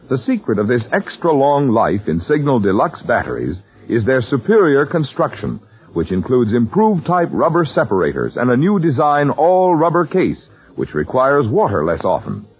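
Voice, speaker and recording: A man announcing on 1940s radio, reading sponsor copy in a polished, emphatic sales style. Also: mid-range voice. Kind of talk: 1940s radio advertising read. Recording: muffled vintage radio, husky, faint music.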